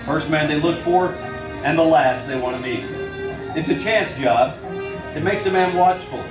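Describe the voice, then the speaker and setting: An actor playing a watchful, plainspoken US marshal with an American accent in an old-time radio western. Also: male voice, on the high side, moderate pace, over music, lightly acted. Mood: solemn, brooding. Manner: steady, plainspoken, dry. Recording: echoey, distant old radio recording.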